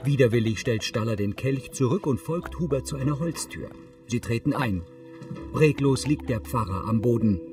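A male narrator reads scripted scene descriptions in a medium-pitched voice. Music sits clearly behind him. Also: animated, moderate pace.

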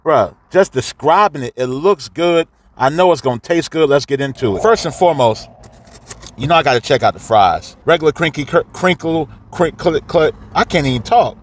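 A man's voice: on the high side, lively intonation, moderate pace. A man, giving a casual, slangy and profane food review while eating in a parked car. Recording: clear background noise in a car.

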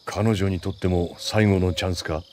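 A man voicing a very deep, gruff secret agent. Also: fairly even intonation, normal speed, slight background noise, lightly acted. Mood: dry, stoic. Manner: terse, gruff, stoic. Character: gruff, terse male special forces agent, wary soldier. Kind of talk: dramatic video game voice acting.

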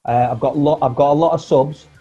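A man in his forties chatting casually as a guest on a video call, relaxed and rambling, with plenty of fillers and restarts. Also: on the high side, fairly quick, slightly rough. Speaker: guest, former footballer. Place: home room, indoors. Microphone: earphone mic on a video call. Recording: dull lo-fi video call audio with faint music.

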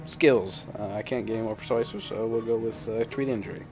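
Sarcastic, low-voiced young man gaming. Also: steady tone, fairly quick, a little mellow, faint music behind. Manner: sarcastic, profane running commentary. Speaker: gaming video host. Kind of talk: casual game commentary.

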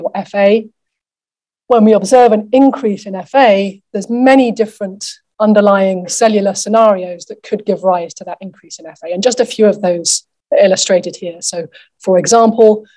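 A middle-aged woman delivers an academic keynote lecture in a mid-pitched voice. Her delivery is measured and explanatory, as a researcher presenting to a conference. She speaks at a lectern in a lecture theatre. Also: dark tone, low-quality recording.

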